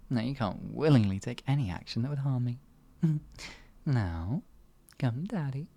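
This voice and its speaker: Man voicing a cruel doting wizard, sing-song lilting pitch, unhurried, teasing, slips into whispers. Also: lively intonation, mellow. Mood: mocking. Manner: teasing, cooing, drifts into whispers. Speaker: voice actor. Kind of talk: ASMR fantasy roleplay.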